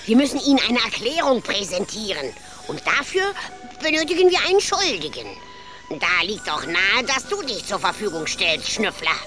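Sly, lilting scheming rat. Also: female voice, medium pitch, very animated, moderate pace, pushed and bright, soft background music. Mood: calculating. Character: sly, scheming rat lieutenant, smooth-talking and scornful. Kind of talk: children's radio play acting.

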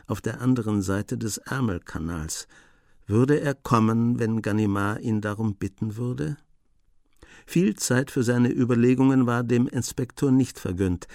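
A man playing the composed narrator of a detective radio play, telling the story in measured, scripted phrases. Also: on the low side, darkish tone, dry recording, studio quality. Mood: musing. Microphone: close studio microphone.

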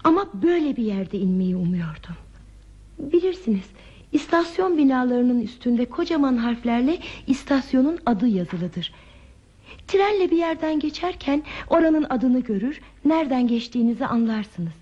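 An actress playing a troubled, reflective woman traveler in a radio play, with deliberate, poetic phrasing and a breathy voice. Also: high-pitched, big pitch swings, a little mellow, exaggerated voice. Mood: wistful, reflective. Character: pensive, troubled woman traveler stranded at a remote station. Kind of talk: Turkish radio theatre acting. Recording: muffled old radio drama recording.

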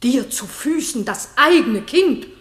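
Bold noblewoman, slow and declamatory. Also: high-pitched, animated, fairly bright tone, reverberant. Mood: indignant, scornful. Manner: declamatory, defiant, deliberate. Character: bold, outspoken noblewoman, loyal and righteous defender. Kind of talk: classic German radio drama stage acting.

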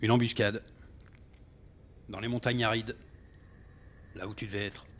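An actor playing a serious, matter-of-fact rebel leader in a science fiction audio drama. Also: male voice, lowish voice, little pitch movement, unhurried, fairly bright tone. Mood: reproachful. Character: reproachful male rebel leader briefing fighters.

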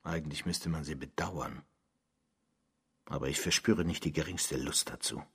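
A man's voice: extremely low pitch, moderate pace, sharp tone, slightly rough, exaggerated voice. An actor playing a disgusted, sarcastic man who is made to listen to a confession in a German radio drama, answering with biting indignation. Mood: accusing.

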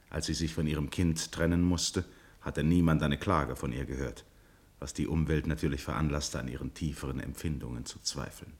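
The storyteller of a radio play, with an extremely deep voice. He narrates gravely and deliberately, in a literary, scripted style. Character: grave, measured male storyteller.

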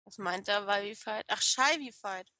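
A woman with a regional German dialect co-hosting a casual streamed podcast, playful and quick to laugh as she reads out chat names. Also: mid-range voice, bright, forceful tone, no echo, studio quality. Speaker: podcast co-host.

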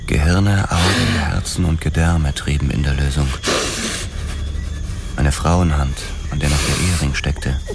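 Very deep-voiced noir police detective. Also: male voice, fairly even intonation, measured pace, creaky, background noise, exaggerated voice. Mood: shaken, restrained. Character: male police detective narrating grim noir discoveries. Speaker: first-person narrator. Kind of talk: scripted radio drama narration, hardboiled crime.

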